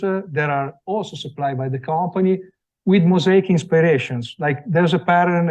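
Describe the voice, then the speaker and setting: A man's voice: fairly high pitch, a little mellow, lo-fi sound. A man from Italy with an Italian accent, giving a live product presentation at a showroom event with many fillers and restarts. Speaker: company sales representative.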